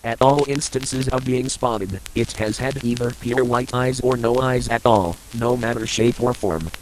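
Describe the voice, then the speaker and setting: A man playing a solemn government emergency broadcast announcer in an analog horror piece, formal and measured. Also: mid-range voice, a little hiss or hum, lightly acted, poor audio.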